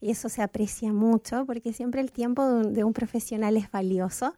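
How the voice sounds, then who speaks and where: A woman's voice: a little high, steady tone, a little fast, warm tone, dry recording, clean studio sound. A woman from Chile hosting a radio health show, chatty and full of fillers and restarts.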